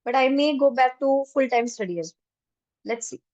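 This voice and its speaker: A woman takes a small online class through German, switching easily between English and German. Her voice sits a little high and sounds dry and close to the mic. She explains patiently, one step at a time. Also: expressive, normal speed, a little mellow, dry recording. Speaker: German teacher.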